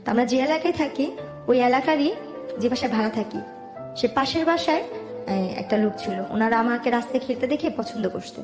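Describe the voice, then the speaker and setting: A woman's voice: on the high side, expressive, fairly quick. A young adult Bangladeshi woman with a Dhaka accent, telling her own life story in colloquial, detailed conversation. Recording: roomy voice with music underneath. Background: background music.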